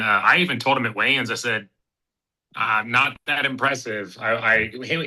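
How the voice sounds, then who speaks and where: Bright-voiced man in his thirties, rambling. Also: medium pitch, low-quality recording. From Kansas City. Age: 30s. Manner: relaxed, rambling, many fillers and restarts. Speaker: guest, bare-knuckle fighter. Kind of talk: casual interview chat.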